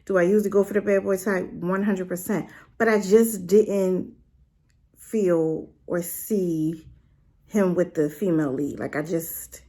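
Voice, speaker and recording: A woman's voice: lowish voice, a little slow. A woman casually reviewing a drama in a chatty, rambling way, full of fillers and asides. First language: not Korean. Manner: animated, with rhetorical questions.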